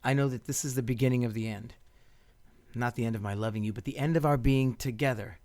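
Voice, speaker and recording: An actor in a radio play playing a tender, persistent married doctor in love, earnest and pleading in manner. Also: male voice, medium pitch, fast, a little mellow, natural voice. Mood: tender, sorrowful.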